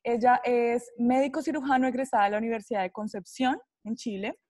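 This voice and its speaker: A woman's voice: on the high side, steady tone, brisk, darkish tone, no echo, clean studio sound. A woman hosting a podcast, enthusiastically reading her guest's background and asking questions. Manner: enthusiastic, reads guest bio. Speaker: podcast host. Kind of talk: podcast introduction and interviewing.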